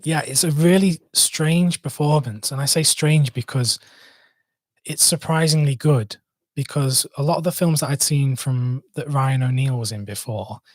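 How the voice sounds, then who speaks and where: A man's voice: mid-range voice, a little mellow, dry recording. A young man from Manchester with a Mancunian accent, chatting casually on a live stream, rambling and hesitant with many fillers and restarts. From Manchester, England. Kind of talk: casual live stream chat answering viewers.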